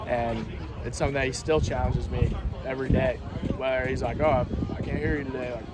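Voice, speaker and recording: A man's voice: mid-range voice, steady tone, brisk, heavy background noise. A young college baseball player answering postgame questions at a press conference, often repeating words as he begins a thought. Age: young adult. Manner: repeats words when starting phrases.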